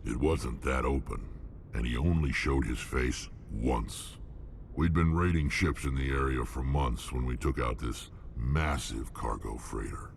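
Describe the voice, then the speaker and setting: Battle-hardened alien mercenary, extremely low, gravelly, blunt, menacing, video game dialogue, slight background rumble. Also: male voice, measured pace, full character voice. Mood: grim recollection.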